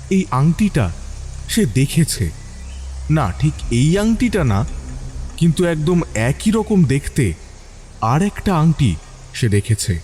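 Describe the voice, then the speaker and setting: A man narrating a scripted suspense story with deliberate phrasing and dramatic pauses. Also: on the high side, a little mellow, slight background noise.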